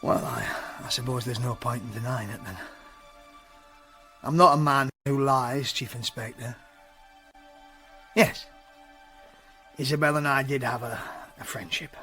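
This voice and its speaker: An actor playing a timid, polite village vicar in a radio drama, hesitant and fluttery. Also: male voice, medium pitch, unhurried, pauses often, bright, forceful tone, soft background music. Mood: alarmed, sheepish. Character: flustered, genteel male village vicar, guilty and timid.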